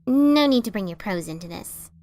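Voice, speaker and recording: A woman's voice: mid-range voice, expressive, normal speed, a little mellow, lightly acted. A woman voicing an earnest, slightly sheepish young pegasus mailmare in a dramatic fan fiction reading. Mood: dry.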